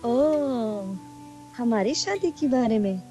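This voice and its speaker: A woman's voice: a little high, lively intonation, slow, dark tone, soft background music. A woman playing a witty, teasing young sweetheart. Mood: playful. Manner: playful, teasing retorts. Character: witty young woman, loyal, quick to tease. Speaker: lead actress in a radio play. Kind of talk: amateur radio play acting.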